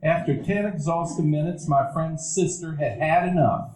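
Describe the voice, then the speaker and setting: A man narrating a stage radio play as a grown man recalling his boyhood, in a measured, reflective telling. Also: fairly high pitch, a little mellow, close to an everyday voice. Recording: roomy event hall.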